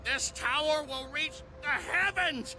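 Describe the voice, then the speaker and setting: Mad game villain, high-pitched, theatrical, gloating, menacing, slow drawn-out cackling delivery. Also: male voice, music in the background. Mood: grandiose. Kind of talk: game cutscene voice acting.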